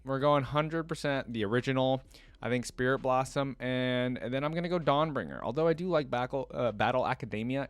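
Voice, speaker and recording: A man's voice: medium pitch, dry recording. A man giving casual reaction commentary as a channel host, chatting and reading aloud.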